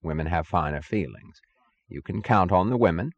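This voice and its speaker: A man's voice: low-pitched, expressive, normal speed, dry recording, highs cut off. Man voicing a pompous, opinionated woman. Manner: declarative, pompous. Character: self-assured, opinionated woman making pompous declarations.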